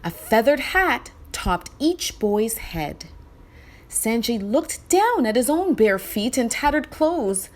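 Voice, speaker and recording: A woman with a high voice reads a children's story aloud. She narrates expressively and deliberately, taking her time over each phrase. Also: very animated.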